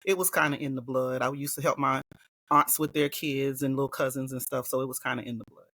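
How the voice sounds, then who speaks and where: Woman, Gullah Geechee accent, podcast guest, relaxed storyteller, dry close sound. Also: rumbling low, quick pace, no room sound. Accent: Gullah Geechee, South Carolina Lowcountry. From Hilton Head Island, South Carolina. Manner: relaxed storytelling, quoting others in lively voices. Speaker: guest educator. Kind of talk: casual interview conversation.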